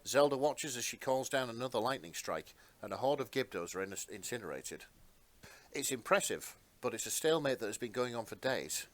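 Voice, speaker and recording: A man's voice: medium pitch, lively intonation, fairly quick. A man reading scripted narration for a fantasy fan story in a dramatic storytelling style.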